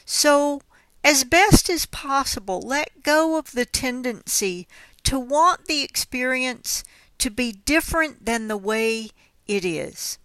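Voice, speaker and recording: Slow, bright-voiced woman guiding meditation. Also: sits high, expressive, no echo, poor audio. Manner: gentle, unhurried, measured phrasing. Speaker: meditation guide. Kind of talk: guided mindfulness body scan instruction.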